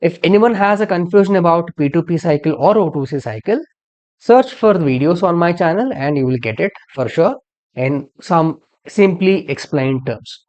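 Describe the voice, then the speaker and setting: Lively, melodic tutorial narrator. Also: mid-range voice, moderate pace, dull audio. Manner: explanatory, step-by-step. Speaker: instructor.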